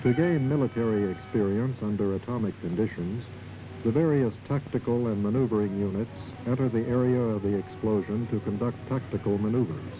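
A man's voice: fairly low pitch, a little fast, music in the background. A man reading a scripted propaganda documentary narration in a formal, declarative manner. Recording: muffled, noisy old film soundtrack.

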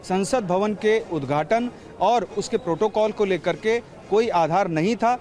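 A man in his forties, a field reporter speaking into a handheld mic outdoors, explaining a court ruling in a measured way. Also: sits high, darkish tone. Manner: measured explanatory, glossing terms. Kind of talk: live reporting from outside a court. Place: outdoors by a street. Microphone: handheld reporter microphone.

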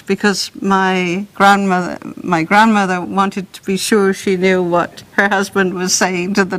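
A woman's voice: mid-range voice, a bit bright, poor audio. A middle-aged woman from South Wales, giving a lecture with anecdotes at a podium microphone in a lecture room. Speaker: composer giving a talk.

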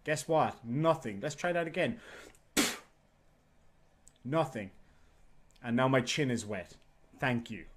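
A man's voice: medium pitch, animated, takes it slowly, pauses often, slight background noise. A man in his thirties with an Irish accent, talking bluntly and profanely to a webcam in a room at home. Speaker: YouTuber. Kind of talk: casual vlog rant.

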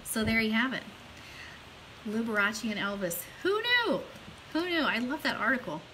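A woman's voice: on the high side, moderate pace. A middle-aged woman hosting an online show in a chatty, informal, enthusiastic way, partly reading aloud. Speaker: host of an online radio show. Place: small room indoors at home or office. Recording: steady background hiss.

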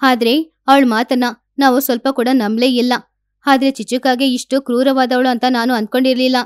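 A woman's voice: sits high, level tone, normal speed, smooth, dry recording, studio quality, natural voice. A woman voicing a remorseful cartoon village bird with a serious, regretful way of talking.

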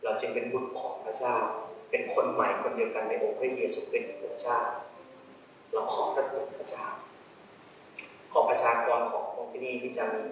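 A man's voice: mid-range voice, flat delivery, fairly quick, slight background noise. A man with a Thai accent preaching an earnest altar call, polite and pleading, in a large church hall. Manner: polite, earnest, many polite particles. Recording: very echoey hall from far off.

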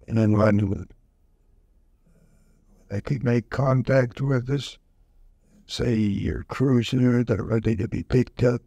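A man in his nineties slowly recalling wartime service in an oral history session, halting with many fillers, speaking into a handheld mic. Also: medium pitch, vocal fry, dark tone, no room sound. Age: over 90. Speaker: veteran interviewee. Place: museum event room, indoors. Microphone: handheld mic held close.

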